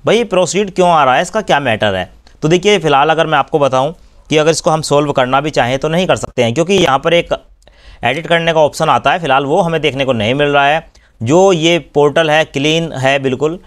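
A man hosting a screen-recorded tutorial, explaining step by step and often addressing viewers as friends. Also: fairly high pitch. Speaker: YouTube channel host explaining refunds.

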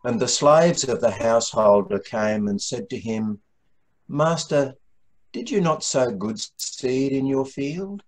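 An older man reading scripture in a measured, solemn manner as the Gospel reader at a church service. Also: medium pitch, expressive, rough recording. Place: small room at home, indoors.

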